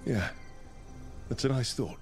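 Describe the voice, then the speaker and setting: A man voicing a calm, supportive adventurer hero in a video game, with a measured, gentle, inquiring manner. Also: medium pitch, close to an everyday voice. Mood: calm, curious. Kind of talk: video game dialogue acting. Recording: echoey, with music underneath.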